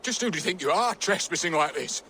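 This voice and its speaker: An actor playing a defensive, haughty priest in a video game, indignant and blustering. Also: male voice, high voice, expressive, fast talker, pushed and bright, slight background noise.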